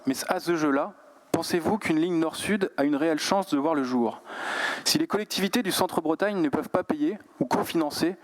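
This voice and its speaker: A man from Brittany, France, speaking as a regional councillor in a formal, measured, articulate political speech, partly read. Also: on the high side.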